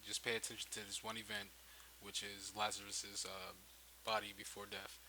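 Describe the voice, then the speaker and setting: A man's voice: on the low side, level tone, moderate pace, bright, forceful tone, low-quality recording. A man casually narrating a screen-recorded tutorial, thinking aloud in an informal way on a hissy home microphone. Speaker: game-making tutorial host.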